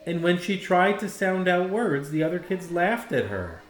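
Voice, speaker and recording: A man narrates a children's storybook like a teacher reading to a class. His delivery is expressive, with wide swings in pitch. The room adds clear echo. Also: moderate pace. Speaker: educator reading a picture book aloud. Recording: echoey room.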